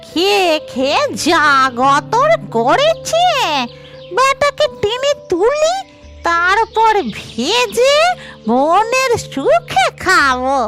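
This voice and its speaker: A woman voicing a grumbling, greedy fish-loving witch in a cartoon, muttering to herself in drawn-out colloquial speech. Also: very high-pitched, sing-song, loud music behind the voice, exaggerated voice. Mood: straining, gloating. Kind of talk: cartoon character acting.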